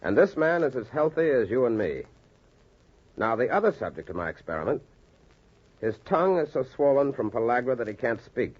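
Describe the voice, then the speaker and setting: Earnest, husky radio-drama doctor, animated delivery. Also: male voice, medium pitch, fairly quick. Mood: firm, persuasive. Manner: oratorical, emphatic. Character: earnest, confident male doctor addressing skeptical colleagues. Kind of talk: 1940s radio acting. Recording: dull, lo-fi 1940s radio recording.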